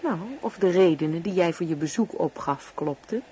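A woman's voice: lowish voice, normal speed, warm tone, natural voice. Radio drama: actress as an affectionate married woman, tender and anxious to please, slight noise on the recording. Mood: earnest, apologetic. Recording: radio play with faint background noise.

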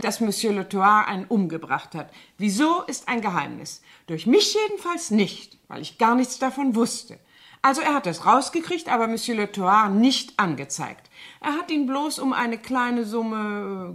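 A woman's voice: medium pitch, fairly bright tone. An actress playing a chatty French cleaning woman who sounds naive and guileless while telling gossip dramatically, in a 1970s German radio play.

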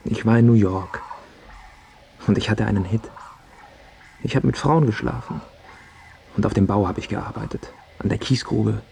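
An actor playing an ailing, self-pitying failed pop singer who pleads and justifies himself in a radio drama. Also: male voice, fairly low pitch, steady tone, moderate pace, vocal fry, long pauses, dark tone, slight background noise. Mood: pleading.

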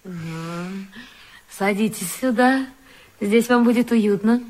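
A woman's voice: mid-range voice, takes it slowly, darkish tone. A woman acting in a radio play, cool and ironic, coaxing in turns.

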